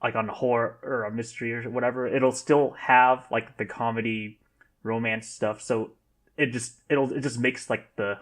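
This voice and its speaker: Man, podcast guest talk, loose, lots of "like", mid pitch. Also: a bit bright. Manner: loose, heavy use of "like". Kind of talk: casual podcast discussion.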